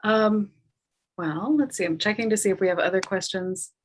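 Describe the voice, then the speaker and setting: Woman hosting, halting and measured. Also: mid-range voice. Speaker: talkback host. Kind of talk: informal hosting of an online panel.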